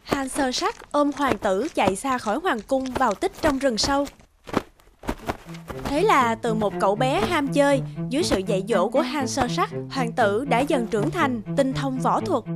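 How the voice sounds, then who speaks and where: A woman's voice: high-pitched, lively intonation, normal speed. A woman giving scripted fairy-tale narration as a cartoon's storyteller. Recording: music behind the voice.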